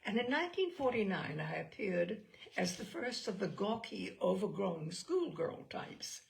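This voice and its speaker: Expressive woman storyteller with lively intonation. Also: mid-range voice, vocal fry. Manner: measured, theatrical storytelling with acted dialogue. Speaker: reader of literary selections. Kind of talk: expressive literary reading of memoir.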